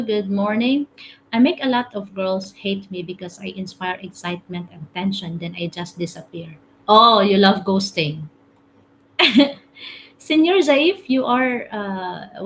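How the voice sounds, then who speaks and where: A woman's voice: mid-range voice, slight background noise, poor audio. A woman from the Philippines with a Filipino accent, hosting a casual live stream, reading comments aloud, chatting and laughing often. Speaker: TikTok live host. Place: home room, currently in UAE.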